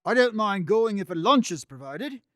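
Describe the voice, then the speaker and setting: A man playing a gossiping, self-serving merchant in a radio drama, animated and theatrical. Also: sits high, moderate pace, dry recording, studio quality. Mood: flippant.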